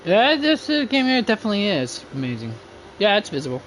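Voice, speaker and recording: A man livestreaming a video game, chatting casually in a halting, rambling way. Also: high voice, huge pitch swings, a bit bright, slight background noise, low-quality recording. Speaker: game streamer. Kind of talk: casual livestream chat over gameplay.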